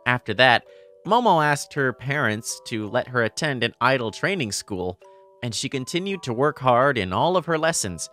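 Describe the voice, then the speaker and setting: A woman's voice: a bass voice, animated, normal speed, fairly bright tone, soft background music. A woman voicing an earnest, easily flustered teenage boy in a manga dub, narrating and speaking expressively. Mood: reflective. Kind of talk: manga dub dialogue and first-person narration.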